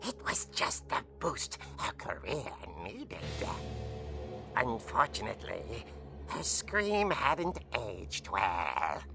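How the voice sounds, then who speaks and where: An actor playing a gleefully macabre old horror-comic host, telling a tale in a hammy, drawn-out theatrical way in a video game. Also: medium pitch, huge pitch swings, gravelly, full character voice. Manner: hammy, drawn-out dramatic delivery. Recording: music under the voice.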